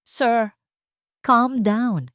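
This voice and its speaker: A woman's voice: a little high, drawn-out words, a bit bright, no room sound, clean studio sound, voice pushed up. A woman voicing a polite, professional policeman who is firm but sympathetic, in an audio story. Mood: urgent.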